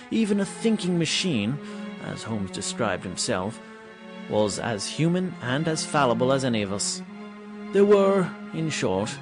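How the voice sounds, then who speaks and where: An actor playing an earnest gentleman chronicler narrating a comic audio drama, formal and measured. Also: mid-range voice.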